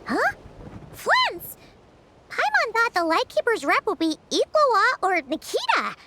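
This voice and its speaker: A woman voicing a tiny, childlike, excitable fairy companion in a video game, with a squeaky voice and an inquisitive manner. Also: measured pace, exaggerated voice. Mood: surprised. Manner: speaks of herself in third person, inquisitive. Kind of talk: animated video game character acting.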